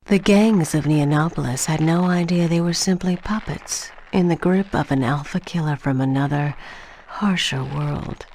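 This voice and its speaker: An actress playing a grave game narrator who tells a dark hunting tale in solemn, dramatic narration. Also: female voice, low-pitched, normal speed, slight background noise, low-quality recording. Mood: ominous. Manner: solemn, ominous storytelling. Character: grave adult woman narrator recounting a hunter's bloody tale. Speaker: story narrator.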